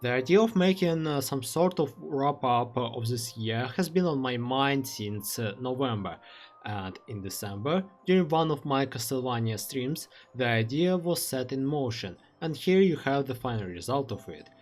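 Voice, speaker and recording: A man's voice: mid-range voice, expressive. A young man in his twenties, a native Russian speaker with a Russian accent, reading a prepared reflective monologue as a streamer. He speaks carefully and halts often with "uh" fillers. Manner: careful, halting delivery with frequent uh fillers. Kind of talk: reflective read-aloud monologue.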